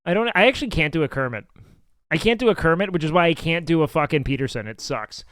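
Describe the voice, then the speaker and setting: Excitable nasal guy streaming. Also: fairly high pitch, fast, no room sound. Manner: loud, excitable, swears a lot. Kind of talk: casual live-stream chat reacting to clips. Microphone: studio mic with noise gate.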